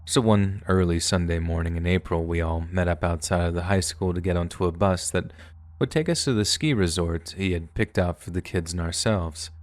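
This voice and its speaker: A man with a low, deep voice reads scary stories in the first person. His delivery is steady and measured, like a practiced storyteller working through a script. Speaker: horror story narrator.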